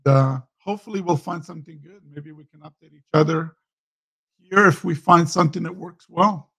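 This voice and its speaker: A man's voice: a little high, brisk, bright, forceful tone, breathy edge. A man speaking as a panel guest, easygoing and upbeat in a casual tech chat.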